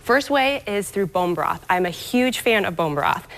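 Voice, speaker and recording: An eager woman gives health tips on a TV lifestyle segment. She sounds upbeat and explanatory, with a pitch that sits mid-range for a woman. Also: lively intonation, measured pace, gravelly, fairly bright tone. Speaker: gut health guest. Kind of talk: TV morning show interview. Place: TV studio.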